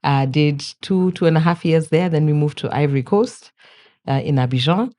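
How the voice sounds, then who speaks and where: A woman's voice: low-pitched, moderate pace, a little mellow. A woman in her thirties, born in Kenya, speaking as a podcast guest in an easygoing, chatty and good-humored way. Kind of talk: conversational interview.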